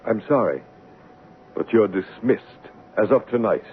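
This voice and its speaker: An actor playing a brooding, commanding English gentleman master in a radio drama, abrupt and teasing yet intensely passionate. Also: lowish voice, lively intonation, a little slow. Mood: brusque, testing. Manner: teasing, abrupt, then ardent. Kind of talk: 1970s radio drama acting. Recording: tinny, faintly noisy 1970s radio broadcast.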